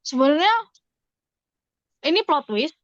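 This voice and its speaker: An Indonesian woman chatting casually as a podcast co-host, asking questions in a halting way and repeating her words. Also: high voice, sing-song, no echo, lo-fi sound. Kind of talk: casual chat.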